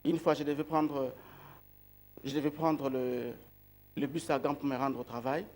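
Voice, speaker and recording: A man giving a sermon who grew up outside Belgium. He speaks slowly and deliberately, repeats key words and asks his listeners rhetorical questions. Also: medium pitch, steady tone, darkish tone. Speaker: preacher.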